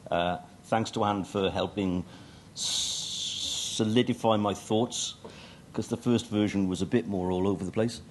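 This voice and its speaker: A man's voice: fairly low pitch, steady tone, a little slow, background noise. A middle-aged man presenting a technical talk at a conference, with dry humour and frequent ums and uhs. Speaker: conference speaker. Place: conference hall stage.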